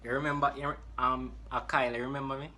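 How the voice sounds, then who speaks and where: A young Jamaican man talks casually, as on a prank call. His delivery is quick and his tone bright and forward, over faint background noise. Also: medium pitch, level tone. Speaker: prank caller. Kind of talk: casual phone chat.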